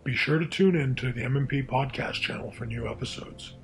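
A man's voice: medium pitch, a little fast, faint music behind. A man giving a formal closing announcement for a radio drama. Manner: formal, smooth announcer delivery.

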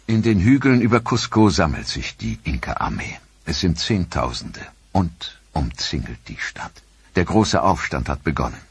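A man narrating a history documentary in a measured, sober manner. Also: sits low, husky, no echo. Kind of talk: scripted narration. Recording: dry studio voice-over.

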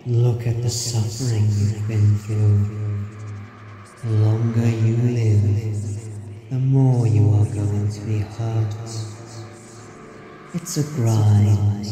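Slow, echoing, sinister man acting as a tormentor. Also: fairly low pitch. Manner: slow, deliberate, coldly insistent. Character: sinister coaxing male tormentor voice preying on a victim. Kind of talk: audio drama acting. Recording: long echoing reverb under loud music.

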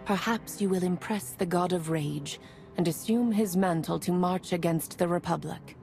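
Mellow, low-voiced solemn seer. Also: female voice, creaky. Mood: solemn, foreboding. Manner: grave, measured, portentous. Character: solemn female seer of a prophetic order. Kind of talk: video game cutscene acting. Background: faint game music.

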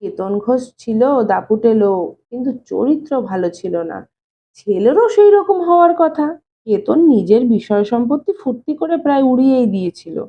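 A woman's voice: a little high, animated, moderate pace, dull audio, a little hiss or hum. A woman reading an audio story, voicing a shrewd older man who needles and questions his brother-in-law in a folksy way. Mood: knowing, probing. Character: shrewd, teasing older brother-in-law, male, gently probing.

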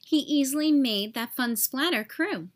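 Woman as female twig, storybook friend, high-pitched, kind, upbeat, emphatic, encouraging. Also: measured pace. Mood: reassuring.

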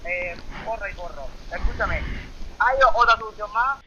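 A man's voice: high-pitched, normal speed. A man talking casually on a phone call over a muffled, noisy line.

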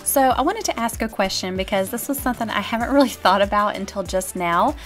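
A woman in her thirties giving a cheerful, chatty voice-over for her cleaning vlog and talking straight to her viewers. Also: mid-range voice. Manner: upbeat and chatty, addressing viewers directly. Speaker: cleaning vlogger. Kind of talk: casual voice-over narration.

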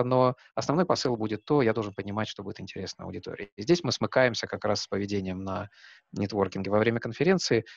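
A man's voice: fairly low pitch, gravelly, no room sound. A man in his forties, a company executive speaking on a video call through a headset, thinking aloud with frequent hesitations and restarts. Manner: thoughtful, many hesitations and restarts. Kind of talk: online talk answering questions. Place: room with a skylight, indoors. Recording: dull, dry headset sound.

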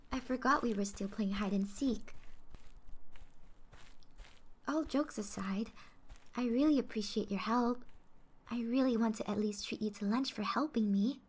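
Woman acting friendly athletic schoolgirl, fairly high voice, breathy, casual half-whisper, ASMR roleplay. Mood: friendly, grateful. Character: friendly sporty schoolgirl confiding in her crush.

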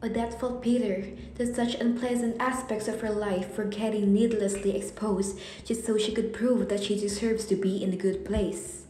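A woman narrates a radio drama from a script. Her tone is mellow and relaxed. The room echoes, with faint noise behind her. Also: mid-range voice, normal speed, hardly any pauses.

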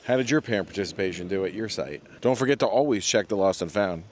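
A man's voice: sits low, lively intonation, fast talker. A man hosting a casual vlog at an outdoor school event, chatty and upbeat, cheering the kids on. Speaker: vlog host. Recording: outdoor crowd noise with music behind. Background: crowd of kids and music.

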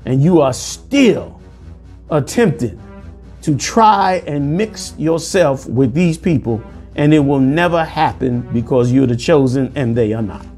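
A man in his forties preaching commentary over news clips, forceful and sermonizing, calling listeners "man", recorded indoors on a lapel mic. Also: medium pitch, expressive, unhurried, faint music behind. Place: indoor room.

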